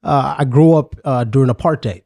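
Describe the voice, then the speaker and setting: A man from South Africa, a podcast guest, telling his life story in a relaxed, hesitant, reflective way. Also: medium pitch, brisk, vocal fry, no echo. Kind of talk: casual interview.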